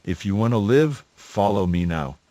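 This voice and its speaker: Low-voiced action hero, AI-altered. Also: male voice, expressive, moderate pace, warm tone, no echo. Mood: commanding, urgent. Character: tough commanding action-movie hero. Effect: AI voice changer.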